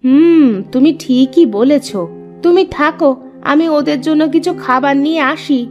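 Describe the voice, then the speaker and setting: A woman's voice: high-pitched, a little slow, a little mellow, muffled recording, soft background music. A woman voicing a nagging, exclaiming wife of a village vegetable seller in a cartoon. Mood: pleased, caring.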